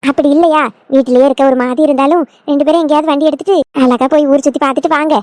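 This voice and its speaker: A woman's voice: high voice, steady tone, very fast, a little mellow, dry recording, close to an everyday voice. A woman playing a doting older village mother-in-law in a family skit, motherly and emotional, with a southern Tamil Nadu accent. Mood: fussing, coaxing. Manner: motherly, fussing, emotional, coaxing.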